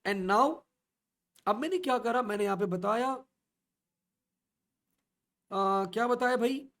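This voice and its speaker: A man teaching an online coding class, asking the class questions and answering them himself as he steps slowly through each value. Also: high-pitched, a bit bright. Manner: slow and repetitive, stepping through values. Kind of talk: online coding lesson in mixed Urdu and English.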